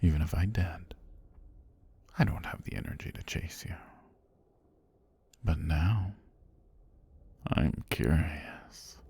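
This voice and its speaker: A man voicing an ancient giant serpent god in an ASMR fantasy roleplay, languid and sinister, with formal, amused, softly menacing speech and a husky, breathy, gravelly voice. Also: low voice, slow, pauses often, exaggerated voice.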